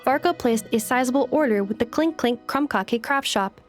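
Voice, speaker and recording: A composed robot girl, played by a woman, with a fairly high voice and precise, literal phrasing. She is a courteous game android, with faint music behind her. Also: normal speed, close to an everyday voice. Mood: neutral, formal. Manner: formal, precise, mechanical phrasing. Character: polite young female robot courier, literal and composed. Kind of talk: robotic video game character acting.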